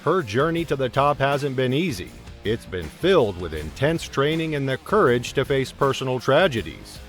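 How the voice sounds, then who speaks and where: A man of middle pitch narrates a sports documentary in a steady, even read-aloud manner. His delivery stays scripted and measured throughout, with soft music playing faintly underneath. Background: faint background music.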